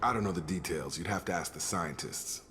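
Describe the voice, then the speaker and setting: An actor playing a steady, loyal young soldier in a video game, plain-spoken and informal with an American accent. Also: male voice, low voice, brisk, background noise, close to an everyday voice. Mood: matter-of-fact, explaining. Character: steady, loyal male soldier and station security chief.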